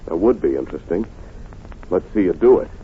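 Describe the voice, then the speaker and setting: Radio drama, defensive, rattled husband, indignant delivery, lowish and lively pitch, noisy vintage narrow-band sound. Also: male voice, brisk. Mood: challenging, gruff. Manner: agitated, evasive, indignant. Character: nervous, defensive husband hiding guilt in a murder case. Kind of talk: 1940s radio drama acting. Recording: muffled, noisy old radio recording.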